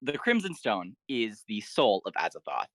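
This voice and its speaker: A man's voice: lowish voice, wildly swooping pitch, normal speed, fairly bright tone, dry recording. A man talking casually as a podcast guest, explaining fan lore in an informal way.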